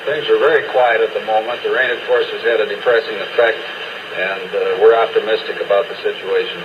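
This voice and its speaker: A man's voice: on the low side, steady tone, brisk, far from the mic, highs cut off. A man answering press questions as an official, on an old noisy radio tape. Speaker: National Guard commander being interviewed.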